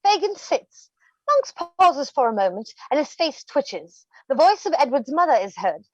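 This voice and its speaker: A woman's voice: high voice, very animated, normal speed. A woman narrating stage directions for a scripted play reading, on a muffled, lo-fi microphone.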